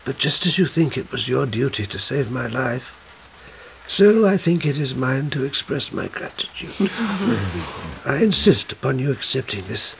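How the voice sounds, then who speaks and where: An actor playing a kindly, flustered older squire with formal, halting speech in a period radio drama. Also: male voice, mid-range voice, animated, creaky, a little hiss or hum, poor audio. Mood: grateful, flustered.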